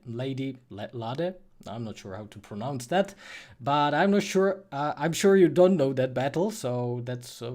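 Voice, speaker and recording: A man's voice: mid-range voice, darkish tone. A man from the Czech Republic with a Czech accent, casually answering viewer questions as host of a livestream, in a hesitant, self-correcting way. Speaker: livestream host, freelance 3D artist and course creator.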